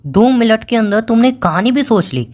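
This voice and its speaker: A man's voice: high voice, animated, normal speed, noisy background. Guy acting young boyfriend in a call drama, bitter, sarcastic, keeps accusing, casual talk. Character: bitter, distrustful young boyfriend confronting his girlfriend. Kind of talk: acted romantic phone-call drama in casual colloquial speech.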